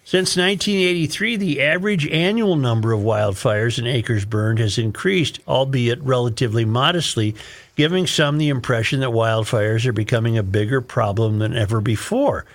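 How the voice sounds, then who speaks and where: Male American talk host, mid-pitched, emphatic and opinionated, delivering read-aloud commentary. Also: moderate pace. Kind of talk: reading an article aloud with commentary.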